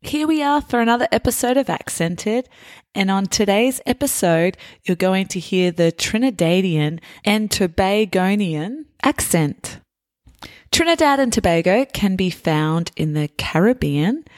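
A woman hosting a podcast for English learners, explaining words in a slow, careful, scripted way. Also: mid-range voice, animated, no echo, studio quality. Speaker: podcast host.

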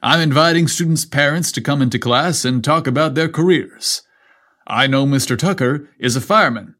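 A man's voice: a little high, normal speed, bright, forceful tone. Man playing a composed, courteous male schoolteacher. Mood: polite, composed. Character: polite, composed male schoolteacher, stern yet compassionate.

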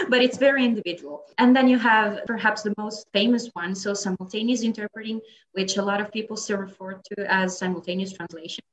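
A woman's voice: medium pitch, brisk, poor audio. A young adult woman with an Italian accent, a native Italian speaker, chatting as a podcast guest about her work as an interpreter, with frequent laughs and fillers. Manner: chatty, laughs often, many fillers and restarts.

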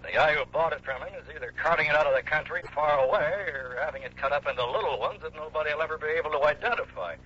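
A man's voice: a little high, rapid-fire, hardly any pauses, bright, forceful tone. A male actor playing a sardonic, grumbling New York police lieutenant in a 1960s radio drama. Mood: frustrated. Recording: dull, hissy old radio broadcast recording.

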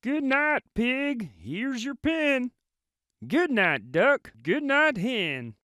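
A man voicing a cheerful little blue pickup truck in a high, chirpy, beeping voice for a storybook reading. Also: big pitch swings, drawn-out words, sharp tone, no echo, clean studio sound, full character voice. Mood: sleepy.

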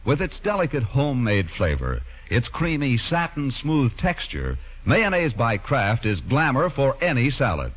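A man's voice: mid-range voice, huge pitch swings, unhurried, hardly any pauses, husky. A man reading a scripted 1940s radio advertisement as a polished, persuasive commercial announcer. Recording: muffled 1940s radio recording.

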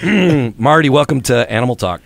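A man hosting a pet radio show in casual chat, his voice hoarse and froggy, rambling with many restarts. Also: mid-range voice, expressive, moderate pace, dry recording.

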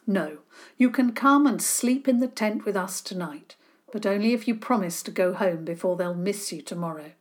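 A woman's voice: mid-range voice, lively intonation, normal speed, natural voice. A woman reading aloud, voicing a sensible boy who speaks firmly and takes charge of the others. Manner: firm and bossy.

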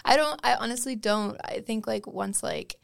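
A woman's voice: a little high, moderate pace, creaky. A young woman from Wales raised in Canada, a musician guest chatting casually on a podcast, full of fillers and trailing phrases.

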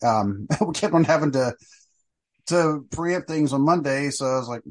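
A man's voice: on the high side, moderate pace. A middle-aged man hosting a casual podcast chat, rambling with many fillers and restarts. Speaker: podcast host.

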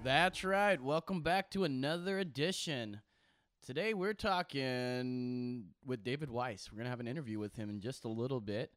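A man's voice: mid-range voice, normal speed, a bit bright, no echo, soft background music. A man hosting a podcast in casual, teasing, wry banter with his co-host.

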